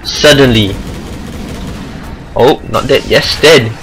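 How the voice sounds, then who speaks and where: A man with a Singaporean accent giving casual amateur commentary over a video game match, excitable and rambling. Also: medium pitch, slow pace, a little hiss or hum, poor audio. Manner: excitable, rambling asides, self-deprecating.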